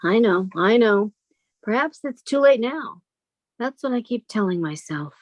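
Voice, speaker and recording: A woman's voice: a little high, lively intonation, measured pace, no room sound. A woman playing a sheltered, timid, wistful woman of thirty-five in an old-time radio drama, plaintive and questioning. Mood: distressed.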